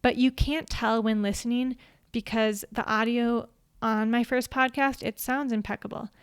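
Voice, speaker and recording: A woman with a quiet voice, hosting a podcast and reading from a prepared script at an even, measured pace into a studio microphone. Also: a little high, clean tone, no echo. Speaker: podcast host. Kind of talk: scripted solo talk.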